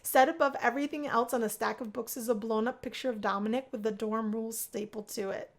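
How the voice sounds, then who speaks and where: Female narrator for a visual novel read-aloud, higher register, expressive first-person storytelling. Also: fast.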